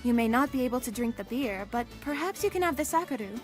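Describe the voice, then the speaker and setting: A woman's voice: fairly high pitch, expressive, fast talker, faint music behind, natural voice. A woman playing a courteous palace attendant in a comic dub, giving patient, orderly instruction. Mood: courteous, informative.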